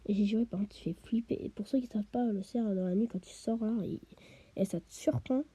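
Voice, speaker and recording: A woman giving chatty let's play commentary while she plays, often dropping to a half-whisper and tossing in quick interjections, a bit stuffy with a cold. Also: mid-range voice, dark tone, breathy edge, no room sound. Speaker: gamer.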